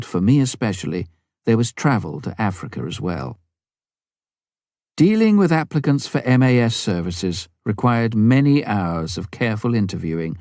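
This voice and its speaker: Mellow male audiobook narrator, low and expressive. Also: no room sound, studio quality. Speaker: audiobook reader.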